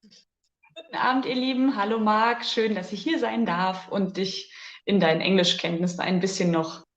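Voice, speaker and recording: A woman's voice: medium pitch, moderate pace, highs cut off. Chatty woman in an echoey room. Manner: lively, chatty, laughs easily. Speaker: co-host. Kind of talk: casual livestream chat.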